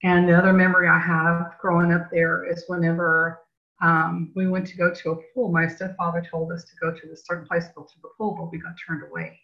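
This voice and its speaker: A woman's voice: lowish voice, little pitch movement, fairly quick. A woman from Hawaii sharing childhood memories casually, in a hesitant, reflective way. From born in Hawaii, childhood partly in Tennessee. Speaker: church group participant. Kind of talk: casual personal reminiscence. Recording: dull, lo-fi sound.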